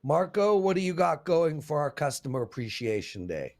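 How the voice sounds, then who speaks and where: A man from South Africa with a South African accent, hosting a sports betting show in casual, lively chat. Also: on the high side, normal speed.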